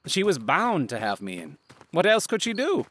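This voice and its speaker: Self-assured detective for audio drama: highish, very lively male voice, precise and theatrical, faint noise behind. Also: moderate pace. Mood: self-assured, explanatory.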